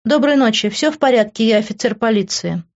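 A man's voice: high voice, fairly quick, highs cut off, pitched above the performer's own voice. A man voicing a composed, authoritative and conciliatory policewoman in an audiobook. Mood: brisk, apologetic. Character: firm, composed policewoman, placating friend.

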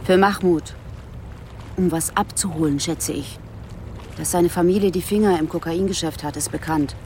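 A woman's voice: on the low side, normal speed, a little mellow, a little hiss or hum, natural voice. An actress around forty playing a probing, stern female police detective in a radio drama, questioning insistently. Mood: accusing.

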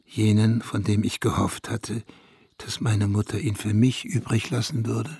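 A man reading literary first-person narration for a radio drama in a measured, reflective way, with a husky voice. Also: on the low side, level tone, warm tone.

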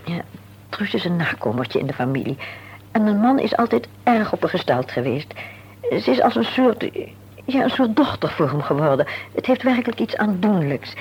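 An actress playing a composed, tactful middle-aged wife in a radio play, speaking formally and deliberately with polite insistence. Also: female voice, low-pitched, a little mellow, slightly rough, exaggerated voice. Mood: frank, probing. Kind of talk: Dutch radio play acting. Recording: dull, hissy old radio recording with hum.

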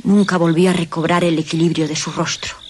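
An actress playing a mystical governess in a gothic radio drama, telling eerie lore in a solemn, theatrical way. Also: female voice, lowish voice, flat delivery, fairly quick, a little mellow, slight background noise, close to an everyday voice. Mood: eerie storytelling. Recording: faint night insects behind.

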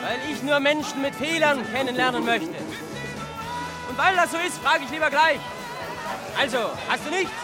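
An actor playing a pushy, provocative oddball in a radio play, needling a stranger with questions. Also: male voice, very high voice, normal speed, pauses often, music in the background, a crowd in the background.

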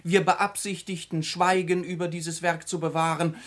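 An actor playing a worldly, cynical journalist in a German radio drama, urbane, eloquent and teasing. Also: male voice, fairly high pitch, level tone, moderate pace, lightly acted. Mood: amused, mocking. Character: suave, mocking male journalist, worldly and cynical man-about-town.

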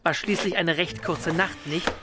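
An actress playing a cheerful, chatty housekeeper in a German radio crime drama, deferential yet familiar. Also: female voice, fairly low pitch, fairly even intonation, a little slow, background noise, close to an everyday voice. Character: chirpy, familiar female housekeeper, attentive and chatty.

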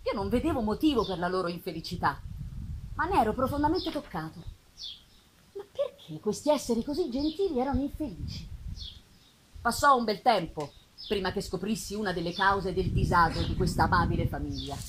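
A woman giving an expressive, dramatic literary reading with pauses and drawn-out phrases, performed live on stage. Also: mid-range voice, background noise. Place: live theatre festival performance. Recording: live music behind the voice. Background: live musical accompaniment.

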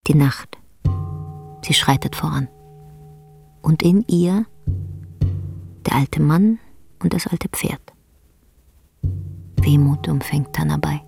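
A woman narrating a literary radio play in a measured storytelling delivery. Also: deep voice, gravelly, a little mellow, slightly rough. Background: faint music.

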